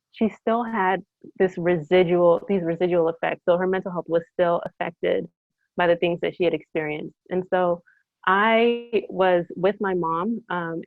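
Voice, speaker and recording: A woman from Trinidad, a naturopathic doctor, telling her story as an interview guest in a measured, earnest way with frequent ums. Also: on the low side, dry recording. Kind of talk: interview answers, personal story. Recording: tinny phone-like line, dry.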